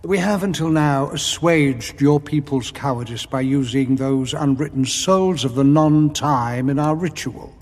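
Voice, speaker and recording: A haughty, menacing sorcerer in cavernous reverb. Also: male voice, a little high, darkish tone. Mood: cold contempt. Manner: haughty, measured, formal speech. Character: cold, imperious male alien sorcerer leader, contemptuous and menacing. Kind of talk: audio drama acting. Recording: long reverb with music behind.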